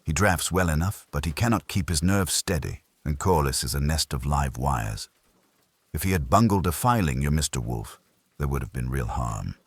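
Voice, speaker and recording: A man with a husky voice voicing a shrewd, unrepentant professional woman in an audiobook detective story, clipped and defiant. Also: rumbling low, lively intonation, moderate pace, dry recording, heavily acted.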